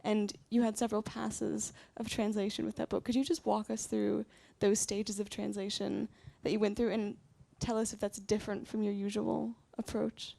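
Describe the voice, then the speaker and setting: Creaky, flat-toned woman moderating. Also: mid-range voice, no room sound. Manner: brisk, guiding, lightly playful. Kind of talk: moderating a panel discussion. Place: library event space with live audience.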